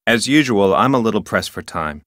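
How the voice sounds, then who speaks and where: A man's voice: lowish voice, lively intonation, brisk, a bit bright, dry recording, studio quality. A man playing an officious, rule-bound park ranger in an adventure game, with a formal, wordy manner. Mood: officious, curt. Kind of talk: scripted adventure game dialogue.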